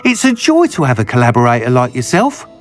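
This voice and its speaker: An earnest broom inventor in a fantasy game. His eager voice swings very widely in pitch, with faint music behind him. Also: huge pitch swings, a little fast. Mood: excited, grateful. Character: enthusiastic male broom maker, earnest inventor. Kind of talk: video game character dialogue.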